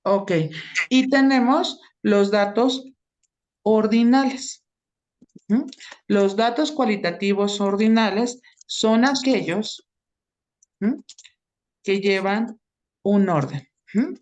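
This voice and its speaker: A woman's voice: mid-range voice, slow pace, long pauses, a little mellow. A woman teaching an online class, explaining step by step and often checking in with her students.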